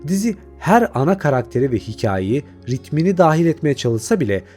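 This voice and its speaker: A man's voice: fairly high pitch, sing-song, brisk, a little mellow, faint music behind. A man delivering scripted video essay narration as a channel host.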